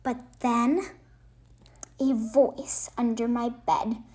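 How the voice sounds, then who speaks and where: A woman reading a picture book aloud in an expressive, halting way with emphatic phrasing. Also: on the high side. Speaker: read-aloud host.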